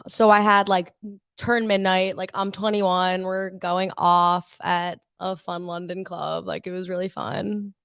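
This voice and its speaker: Gravelly-voiced young woman. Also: lowish voice, little pitch movement, measured pace, a bit bright, dry recording. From Long Island, New York. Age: 20s. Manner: laid-back, giggly storyteller. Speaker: co-host. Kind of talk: casual chat.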